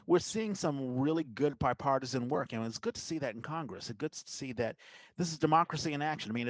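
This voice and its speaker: A man's voice: mid-range voice, dry recording, poor audio. A man hosting a conversational interview podcast, speaking emphatically and repeating phrases for stress. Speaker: podcast host.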